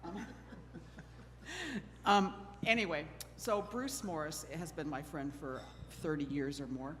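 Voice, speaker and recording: Nervous chatty woman moderating in a hall. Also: sits low. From Oregon, USA. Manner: nervous, self-deprecating, chatty with laughs. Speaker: moderator of a panel discussion. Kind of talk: live panel moderation. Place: church hall. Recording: long hall reverb with faint noise.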